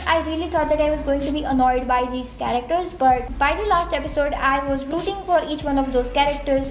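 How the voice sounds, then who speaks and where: A young woman from India with an Indian accent, giving a candid, chatty TV show review. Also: high voice. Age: young adult. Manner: candid, chatty, lots of "I think". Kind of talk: casual opinionated review. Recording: echoey room.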